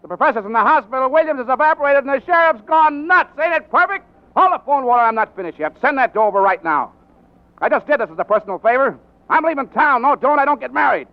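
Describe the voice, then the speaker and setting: An actor playing a brash, cocky young American reporter in slangy 1940s radio style. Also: male voice, very high-pitched, lively intonation, quick pace. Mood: excited, urgent. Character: brash, fast-talking young newspaper reporter, engaged to marry. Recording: muffled old radio drama recording.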